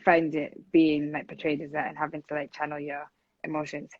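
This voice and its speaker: A woman in her twenties talking in a casual podcast conversation, with a loud, earnest voice and long runs of speech. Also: deep voice, flat delivery, fairly quick, no room sound, dull audio. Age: 20s.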